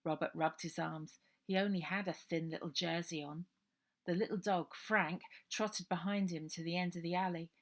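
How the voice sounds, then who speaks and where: Female storyteller for middle-grade fiction, lowish pitch with a creaky edge. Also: steady tone, moderate pace. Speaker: author reading own novel aloud. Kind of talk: children's story narration, unedited.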